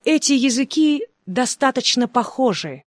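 A speaker reading short phrasebook sentences slowly and clearly for language learners. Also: high-pitched, expressive, no echo, studio quality. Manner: slow, clearly spaced repetitions. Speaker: phrasebook reader.

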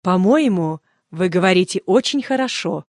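A speaker reading short phrasebook sentences slowly and clearly for language learners. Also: medium pitch, expressive, darkish tone, dry recording, studio quality. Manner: slow, clearly spaced repetitions. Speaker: phrasebook reader.

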